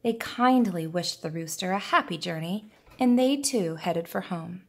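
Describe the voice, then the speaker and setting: A woman reading a children's picture book aloud in a deliberate, expressive storytelling manner. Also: on the low side, gravelly. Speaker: preschool teacher reading aloud.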